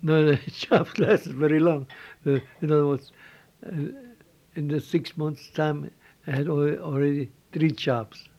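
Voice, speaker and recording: An older man from Switzerland with a Swiss German accent, telling his life story in halting English with repeated words and restarts. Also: fairly high pitch, steady tone, darkish tone, lo-fi sound. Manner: halting, repeats words, non-native phrasing. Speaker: interviewee.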